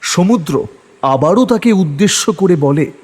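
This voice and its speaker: A male narrator tells a dramatic horror story from a script. His voice is fairly high and husky, with a long reverb trailing it. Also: lively intonation, unhurried, a little mellow.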